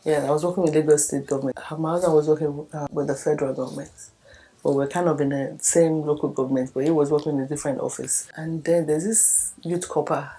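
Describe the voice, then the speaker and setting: Low mellow-voiced Nigerian woman in her fifties. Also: fairly even intonation, fast talker, husky, rough recording. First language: Yoruba. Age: 50s. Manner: storytelling, acting out quoted conversations. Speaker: documentary subject. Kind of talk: personal life story told to camera.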